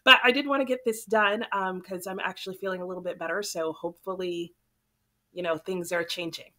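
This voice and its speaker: A woman's voice: lowish voice, fairly quick. Chatty young woman, a little sick. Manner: chatty, relaxed, rambling. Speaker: book channel host. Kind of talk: casual chat to camera.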